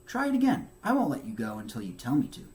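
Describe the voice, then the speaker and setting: A man's voice: mid-range voice, huge pitch swings, fast talker, mellow, slight background noise. A man voicing a patient, encouraging mother turtle, coaxing and reassuring in a storybook reading.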